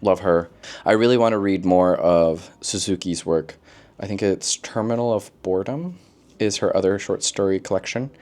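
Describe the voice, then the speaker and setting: Man, low voice, casual vlog chat, expressive, playful asides, slangy phrasing. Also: moderate pace. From lives in Korea, origin unstated. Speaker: book vlogger. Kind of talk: casual chat, book recommendations to camera.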